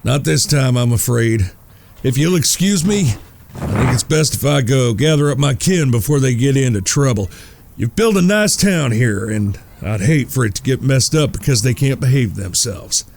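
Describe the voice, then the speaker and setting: An actor playing a shrewd outlaw gambler in a western audio drama, polite, folksy and coolly confident. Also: male voice, mid-range voice, expressive, normal speed, vocal fry, darkish tone, slight background noise. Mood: courteous. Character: shrewd, courteous outlaw gambler, leader of his brothers.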